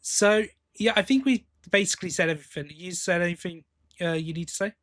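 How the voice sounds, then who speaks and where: A man co-hosting a podcast, giving a casual review in a halting way, thinking aloud as he goes. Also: high voice, a bit bright. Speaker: podcast co-host.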